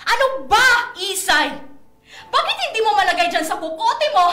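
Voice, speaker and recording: A woman's voice: extremely high pitch, sing-song, moderate pace, sharp tone, full character voice. A woman playing a strict, bitter mother in a radio drama, scolding with intense melodramatic emotion. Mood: angry, scolding. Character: strict, bitter, anguished mother, a former athlete. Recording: roomy echo, faint music behind.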